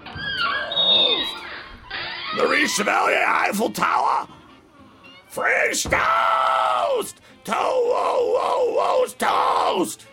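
A man playing a frantic, over-excited, nervously fawning character in a comic audio drama. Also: very high voice, drawn-out words, creaky, raised voice, soft background music, some chatter behind, full character voice. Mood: manic, exuberant. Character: frantic toast-singing man, nervously fawning on a scary child.

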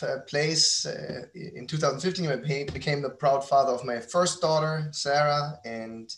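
A man with a German accent tells a personal story as an interview guest. His voice sits at a medium pitch, and he speaks in a halting way, often repeating words as he goes. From Berlin, Germany. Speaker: podcast guest, entrepreneur.

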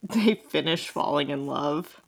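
A woman's voice: deep voice, a little slow, gravelly. A woman co-hosting a podcast, chatting in an enthusiastic, informal way and leaning on "like" a lot. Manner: informal, enthusiastic, says "like" constantly. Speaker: podcast co-host and interviewer. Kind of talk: casual discussion.

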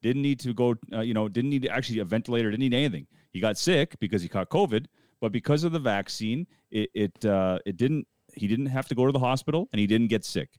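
A man's voice: mid-range voice, lively intonation, fast, a little mellow, dry recording, studio quality. A man co-hosting a talk show, speaking casually in a plainspoken, emphatic way. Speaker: show co-host.

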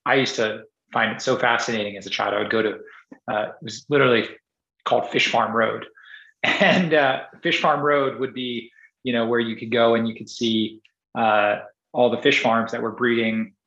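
A man's voice: mid-range voice, steady tone, normal speed, a bit bright. A man from Singapore tells his story as an entrepreneur in a loose, rambling way, circling back with restarts and fillers. His voice sits in the middle of the range. The audio is roomy and a little dull. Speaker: podcast guest, entrepreneur. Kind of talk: casual interview conversation.

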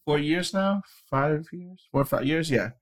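A man's voice: on the high side, a little slow. A man from the Dominican Republic, co-hosting a casual podcast chat in a laid-back way and swearing freely. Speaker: podcast co-host.

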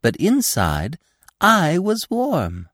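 A man voicing a naive, boastful young male deer in a storybook, with a calm, self-satisfied manner. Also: on the high side, very slow, no room sound, studio quality, pitched above the performer's own voice, heavily acted. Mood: proud, boastful. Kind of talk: storybook character voice.

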